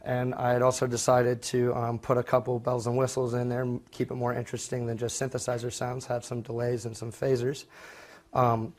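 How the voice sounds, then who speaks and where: A man's voice: medium pitch, monotone, a little fast. A young man giving an informal student project presentation, speaking a little hesitantly and correcting himself as he goes. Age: young adult.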